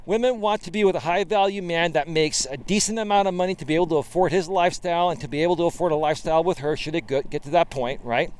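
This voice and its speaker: Male dating coach, late 40s, smooth voice sitting slightly high, emphatic walking-vlog delivery recorded outdoors. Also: fast talker, hardly any pauses. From Bay Area, California. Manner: emphatic, keeps tagging "right?". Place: outdoor trail.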